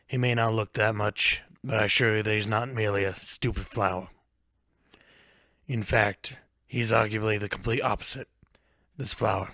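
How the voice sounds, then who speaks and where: A man voicing a huge old goat-like monster, unhinged but gentle, speaking in a calm, formal, courteous manner for an audio story. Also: fairly low pitch, no room sound, clean studio sound. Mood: calm, earnest. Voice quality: calm, measured.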